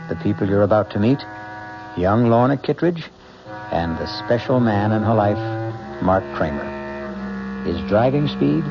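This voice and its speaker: A man's voice: mid-range voice, gravelly, lo-fi sound. A man hosting a vintage radio drama with scripted, old-time narration. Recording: band-limited old radio, music behind. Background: music.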